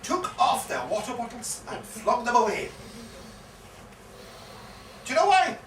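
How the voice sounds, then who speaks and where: An actor playing an excitable Irish pub yarn-spinner, theatrically repeating himself with relish in a live comic stage monologue. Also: male voice, extremely high pitch, a little slow, full character voice. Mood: insistent explaining. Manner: theatrical, repeats phrases with relish and dramatic emphasis. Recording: echoey live pub recording, distant mic, faint noise.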